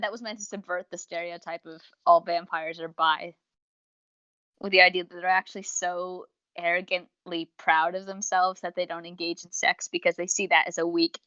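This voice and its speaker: A woman's voice: fairly low pitch, dry recording. A talkative young woman co-hosting a casual fan discussion, rambling along and acting out quotes as she goes. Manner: talkative, rambling, animated retelling with acted-out quotes. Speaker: podcast co-host and reviewer. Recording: dull lo-fi voice recording.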